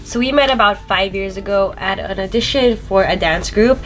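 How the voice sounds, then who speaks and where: A woman's voice: mid-range voice, normal speed, poor audio. A nineteen-year-old woman, from the Philippines and Sweden, co-hosting a casual chat and telling stories with a laugh. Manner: chatty, laughs through stories, lively retelling. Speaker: YouTube co-host.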